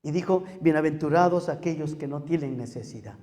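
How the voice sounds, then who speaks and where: A man's voice: on the high side, a little fast, mellow. A man with a Peruvian Spanish accent preaching as a pastor, repeating phrases for emphasis and putting questions to his congregation. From Peru. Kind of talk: sermon. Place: church hall. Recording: long hall reverb.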